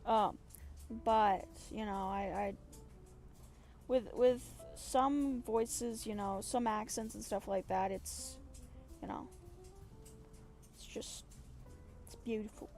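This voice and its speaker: A woman's voice: mid-range voice, fairly even intonation, slow, long pauses, darkish tone, soft background music. An American woman streaming and talking casually about voice acting, rambling and playful, often correcting herself. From United States. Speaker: streamer and voice actor. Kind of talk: casual livestream chat.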